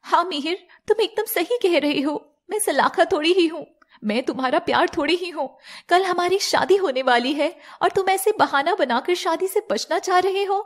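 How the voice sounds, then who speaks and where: A woman voicing a scheming young bride in disguise, dramatic and wheedling, in an animated fairy tale. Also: very high-pitched, moderate pace, pitched above the performer's own voice. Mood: hurt, accusing. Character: scheming young bride posing as her sister.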